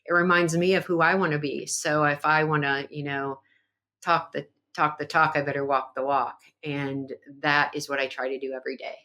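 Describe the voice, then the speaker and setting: Podcast guest: woman, low voice, earnest, reflective, conversational. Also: fairly even intonation, moderate pace, bright, forceful tone. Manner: earnest and reflective, with frequent fillers. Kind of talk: conversational interview.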